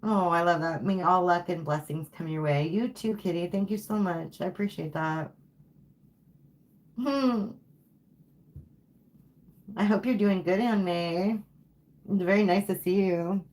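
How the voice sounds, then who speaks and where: A woman with a low voice gives a live tarot reading in an easy, unhurried way. She sounds tired and a little worn, as if her voice is going, and keeps checking in with "okay?" as she talks. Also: darkish tone. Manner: casual, repeats "okay?" and "like". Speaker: tarot reader.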